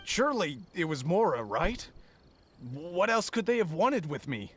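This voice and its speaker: An actor playing a nervous, shaken merchant in a video game, recounting events in a rambling way. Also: male voice, sits high, big pitch swings, a little slow, a bit bright, slightly rough, heavily acted. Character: jittery middle-aged merchant man, nervous.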